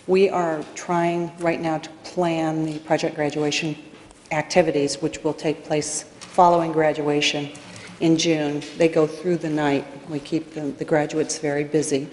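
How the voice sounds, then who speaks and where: A woman's voice: deep voice, little pitch movement, unhurried, a little mellow. A middle-aged woman addressing a public meeting as a committee secretary making a request. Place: large indoor meeting room, at podium. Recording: very echoey meeting hall, faint noise.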